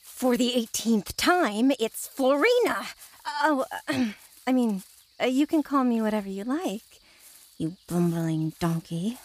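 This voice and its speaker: Woman as a slow, alluring, sly water spirit. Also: fairly high pitch, expressive, dry recording. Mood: coy. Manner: coy, seductive, faintly mocking. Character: alluring, sly blue-skinned water woman, secretly menacing.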